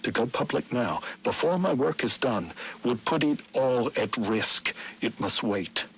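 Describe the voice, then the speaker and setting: An actor playing a middle-aged Victorian gentleman, a scheming city founder, narrating his journal in formal, dramatic, archaic diction for a video game. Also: medium pitch, expressive, a little slow, slightly rough, heavily acted. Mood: resigned, foreboding.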